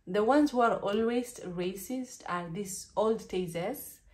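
Chatty Kenyan woman vlogging from her bedroom. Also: medium pitch. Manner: animated, talks with her hands, candid and chatty. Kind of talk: casual storytime vlog. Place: small bedroom, indoors.

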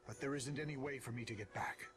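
A man's voice: mid-range voice, fast, a bit bright, faint music behind, lightly acted. A man voicing a serious, remorseful middle-aged soldier in a game cutscene, speaking solemnly and earnestly. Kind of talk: scripted game cutscene acting.

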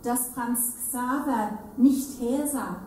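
A woman's voice: a little high, slow pace, warm tone. A woman giving a staged literary reading, recorded from afar in a very echoey, noisy room. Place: salon with seated audience. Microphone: far camera. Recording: very echoey hall, distant mic, noisy.

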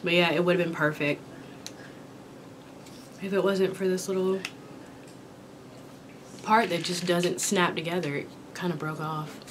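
A young woman from Kentucky chatting casually while eating, her voice raspy from a sore throat, rambling with many ums. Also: fairly low pitch, fairly even intonation, measured pace, pauses often, slight background noise. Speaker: vlogger. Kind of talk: casual mukbang chat. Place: small indoor room with dark curtain backdrop.

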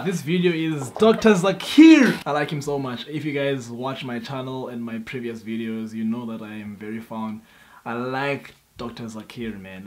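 A young man hosting a reaction video, talking in a relaxed, casual way with lots of fillers. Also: medium pitch. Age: young adult. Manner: relaxed, chatty, many fillers like "guys" and "man". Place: small indoor room, seated at a patterned wall. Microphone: earphone headset mic. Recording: loud background noise.

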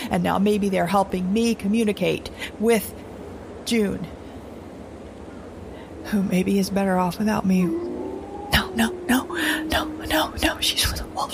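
Clear noisy ambience surrounds an actress as a lone woman survivor in an audio drama. Her voice sits a little low. She speaks haltingly and anxiously, repeating words and often sinking toward a whisper. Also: darkish tone, natural voice. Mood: distressed. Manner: halting, repetitive, drifting into breathy whispers. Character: anxious woman survivor alone in a strange wilderness. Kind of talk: audio drama monologue, like a spoken journal.

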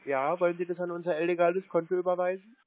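A man's voice: sits high, flat delivery, fast. Tinny-sounding man, cheeky phone prankster. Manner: feigned earnest, cheeky, teasing. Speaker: prank caller answering scam calls. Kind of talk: casual phone prank chat.

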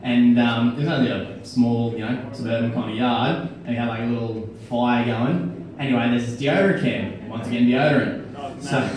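A young man gives a relaxed, rambling speech full of fillers. Guests murmur around him in a big echoing hall. The phone catches him from across the room. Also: mid-range voice, normal speed, a crowd in the background. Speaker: friend giving a wedding speech. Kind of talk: casual wedding speech. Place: wedding reception hall. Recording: echoey crowded hall from far off.

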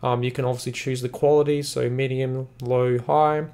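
A man hosting a casual software tutorial, explaining in a rambling, repetitive way. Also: mid-range voice, level tone, normal speed. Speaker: software reviewer, tutorial host. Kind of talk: casual screen-recording tutorial narration.

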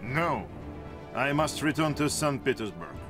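An imperious Tsar in a video game drama. His mid-pitched voice is stern, formal and haughty, set over clearly audible music. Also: sing-song, a little slow. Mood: resolute. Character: proud, imperious male monarch, the Tsar of Russia. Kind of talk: video game cutscene acting.

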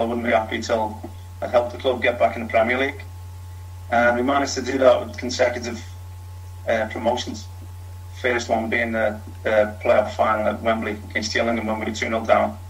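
A man's voice: medium pitch, low-quality recording. A middle-aged man with a Liverpool accent, a former footballer telling his story frankly in a long interview answer. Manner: frank, talks in long runs with fillers. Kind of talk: candid storytelling.

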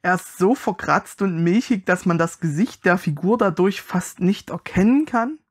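A man's voice: high voice, normal speed. A man giving casual, wry and critical commentary as he reviews an unboxing.

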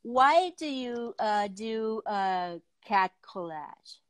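Halting middle-aged woman hosting. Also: fairly high pitch, expressive, no echo. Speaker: talk show host. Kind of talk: casual video interview, asking questions. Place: room at home, video call.

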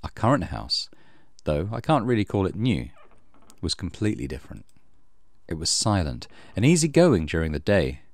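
A man reading a horror visual novel aloud as dramatic, measured narration. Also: low voice, very animated, dry recording. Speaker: gaming channel host reading visual novel. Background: music.

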